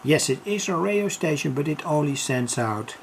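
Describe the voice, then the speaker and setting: A man giving an unscripted hobby radio demonstration, halting and thinking aloud as he works. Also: fairly high pitch, animated, slight background noise.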